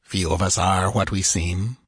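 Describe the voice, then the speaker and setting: A man reading an audiobook, voicing a spy posing as a foreign prince, speaking in a measured, confiding way. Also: deep voice, fairly even intonation, breathy edge, no room sound, studio quality, full character voice. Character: spy prince, sly and calculating.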